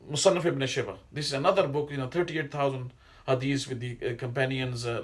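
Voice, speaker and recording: A middle-aged man, whose first language is not Arabic, giving an informal religious lesson in a rambling, digressive way with many hesitations and restarts. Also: mid-range voice. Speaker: Islamic teacher.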